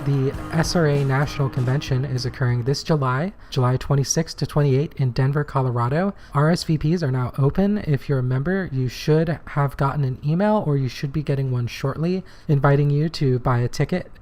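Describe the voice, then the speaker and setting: A man co-hosting a political podcast, talking earnestly and plainly, his voice slightly hoarse from shouting. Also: medium pitch, a little fast, few pauses. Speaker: podcast co-host. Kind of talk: casual political podcast talk.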